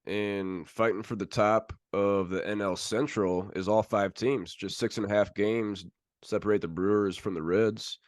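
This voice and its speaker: A man's voice: on the low side, fairly even intonation, unhurried, dry recording, studio quality. A man hosting a sports podcast, giving casual commentary as he runs through standings.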